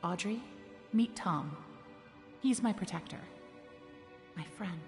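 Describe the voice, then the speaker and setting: A woman's voice: lowish voice, animated, slow pace, darkish tone. An actress playing a guarded, level-headed woman warrior in a game cutscene, composed, wry and firm. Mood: calm, wry. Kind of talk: video game cutscene acting. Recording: long reverb, faint music behind.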